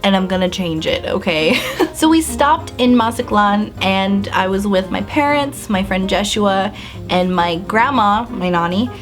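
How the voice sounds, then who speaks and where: A young woman vlogger telling a story to the camera in a bubbly, animated way, filler-heavy and acting out her own reactions. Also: medium pitch, unhurried, soft background music. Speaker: beauty and lifestyle vlogger. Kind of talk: casual storytime vlog.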